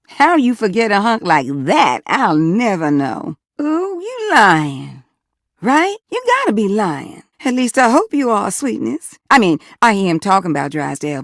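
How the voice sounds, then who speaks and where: A woman's voice: medium pitch, no echo, studio quality. A woman voicing a flirty, teasing, gossipy household appliance in a video game, with a Southern US accent and sweet-talking manner. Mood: wistful, musing. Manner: sweet-talking, teasing, drawling terms of endearment. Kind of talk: video game character voice acting.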